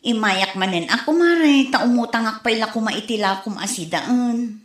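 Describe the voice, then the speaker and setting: A village neighbour acted by a woman in a comedy radio drama, pleading and reproachful as she speaks. Her voice sits somewhat high, recorded in a clearly echoey room. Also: lively intonation, normal speed, a little hiss or hum, natural voice. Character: pleading female neighbour complaining about prices, asking credit.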